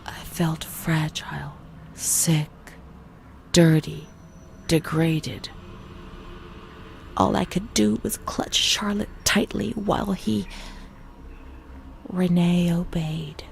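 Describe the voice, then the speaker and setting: A woman playing a fragile, haunted young former asylum patient in a narrative game, delivering a slow, halting, confessional monologue in a breathy voice that often sinks to a whisper. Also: lowish voice, a little hiss or hum, exaggerated voice. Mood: traumatized, anguished. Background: music.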